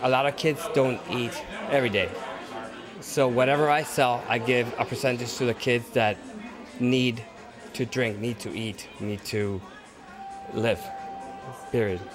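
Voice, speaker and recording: A painter and photographer from Cuba giving short, blunt, earnest answers in an interview at an outdoor art event. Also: male voice, mid-range voice, fairly even intonation, unhurried, long pauses. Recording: crowd chatter and music behind.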